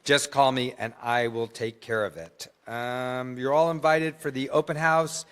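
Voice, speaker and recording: A man's voice: mid-range voice, fairly even intonation, measured pace, fairly bright tone, clear, resonant tone. Chatty man making synagogue announcements. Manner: chatty, enthusiastic, joking asides. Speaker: synagogue president giving announcements. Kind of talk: congregational announcements. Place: large synagogue sanctuary.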